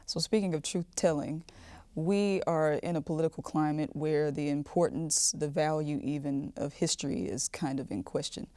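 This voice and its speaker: A woman hosts an interview. Her voice is low and mellow, recorded dry, and she puts questions to guests in a conversational way. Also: fairly even intonation, moderate pace, dry recording. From Mississippi, United States. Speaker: podcast host, interviewer.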